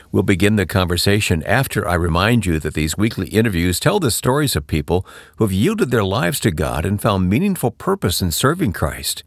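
A man from Michigan hosting a radio interview program, friendly and quick to laugh along with his guest. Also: sits low, dry recording, studio quality. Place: recorded separately from guest over online call.

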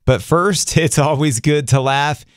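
A man's voice: on the high side, a little slow, smooth, no echo, studio quality. A man presenting links between programs as a radio host.

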